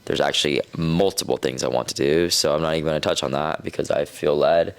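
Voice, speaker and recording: Man, twenties, very deep voice, podcast guest, reflective, casual. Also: steady tone, fast, clean tone. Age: 20s. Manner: reflective, thoughtful. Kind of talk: casual chat.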